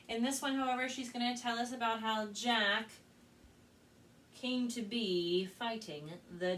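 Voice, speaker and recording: An American woman working as a children's librarian, telling stories and presenting to kids in an expressive, carefully spoken way. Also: medium pitch. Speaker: children's librarian and storytime host. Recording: distant mic with faint background noise.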